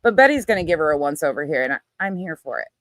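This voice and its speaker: A woman's voice: deep voice, very animated, rapid-fire, a bit bright, no echo. A woman giving casual reaction commentary in a blunt, sarcastic, combative way with plenty of swearing. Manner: scornful, combative. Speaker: commentator reacting to another person's clip.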